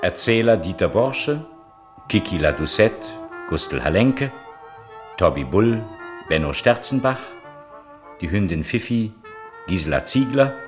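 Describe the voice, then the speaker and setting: A man's voice: lowish voice, big pitch swings, measured pace, long pauses. A man reading scripted closing credits as a radio announcer, with violin music behind him.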